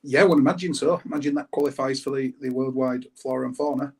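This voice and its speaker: A man's voice: mid-range voice, fairly even intonation, fast talker, darkish tone, husky. A British man, a guest on a show, explaining things in casual conversation. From United Kingdom.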